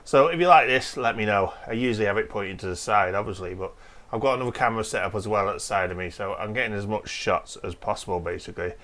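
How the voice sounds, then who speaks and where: A hoarse man with a cold, hosting a casual repair walkthrough in a home workshop, explaining each step in a chatty, rambling way. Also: lowish voice, fast, a bit bright. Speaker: host of a retro computer repair channel.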